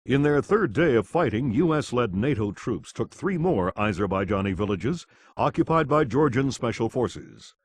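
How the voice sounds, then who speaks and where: A man's voice: mid-range voice, very animated, normal speed, a little hiss or hum, close to an everyday voice. A man playing a grave, authoritative TV news anchor reading a scripted report. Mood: grave, formal.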